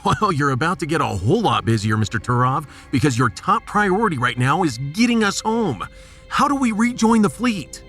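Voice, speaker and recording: A man playing a gruff, folksy American starship captain in a sci-fi audio drama, resolute and commanding with homespun slang. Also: fairly high pitch, big pitch swings, normal speed, fairly bright tone, faint music behind.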